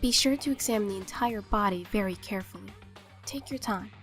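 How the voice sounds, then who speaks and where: A woman voicing a cool, composed teenage girl detective in an anime fan dub, measured and matter-of-fact. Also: medium pitch, soft background music, lightly acted. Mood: composed, instructing.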